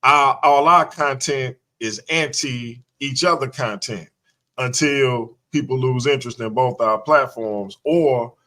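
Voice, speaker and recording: A man's voice: mid-range voice, pushed and bright. A man in his late forties talking casually on a live-streamed show, reflective, using street slang and thinking aloud. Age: 40s. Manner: reflective, talks things through, street slang. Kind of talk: casual chat. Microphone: live stream mic.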